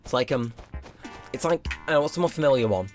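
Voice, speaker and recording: Rambling young man, slightly hoarse. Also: medium pitch, lively intonation, quick pace. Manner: rambling, filler-heavy, self-mocking. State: strained, slightly hoarse throat. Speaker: let's play host. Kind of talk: casual gameplay commentary. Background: game music.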